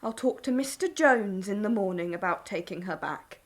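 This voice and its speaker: A woman's voice: mid-range voice, lively intonation, moderate pace, close to an everyday voice. A woman playing a bitter, strict farm wife and mother in a wartime audio play. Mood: stern.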